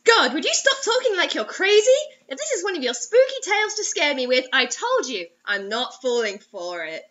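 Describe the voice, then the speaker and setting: A young woman reads a story aloud in a high, bright voice. Her delivery is theatrical and sarcastic, breaking into mocking commentary. The sound is dull and lo-fi, like a webcam in a small room. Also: big pitch swings, moderate pace. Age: young adult. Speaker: co-host reading a story aloud.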